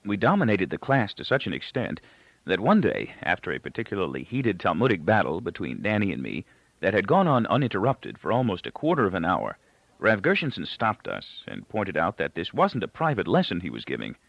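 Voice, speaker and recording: A man's voice: deep voice, wildly swooping pitch, a little fast, creaky, no room sound. A man reading literary fiction aloud as a scripted narration. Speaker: audiobook reader. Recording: dull, lo-fi, close dry recording.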